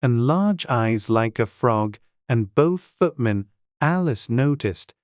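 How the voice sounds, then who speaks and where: A man narrating a storybook audiobook in a measured, scripted storytelling manner. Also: medium pitch, animated, darkish tone, no room sound, clean studio sound.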